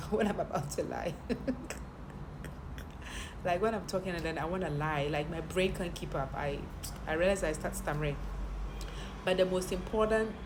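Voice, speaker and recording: A woman talks frankly to camera in a personal monologue, often repeating words and restarting her sentences. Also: sits low. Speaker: content creator and TV presenter. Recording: steady background noise.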